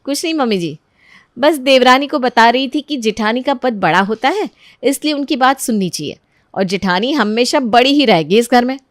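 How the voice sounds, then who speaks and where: A woman's voice: on the high side, expressive, normal speed, no room sound, lightly acted. Proud young elder daughter-in-law, acted by a woman, scheming and insistent under false sweetness, dry studio sound. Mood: sweetly persuasive. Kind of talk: Hindi moral story character acting.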